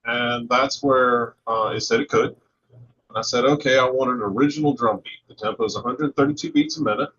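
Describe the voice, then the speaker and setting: A man casually explaining as a guest, halting and going step by step. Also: medium pitch, steady tone, a bit bright, muffled recording. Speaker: guest contributor.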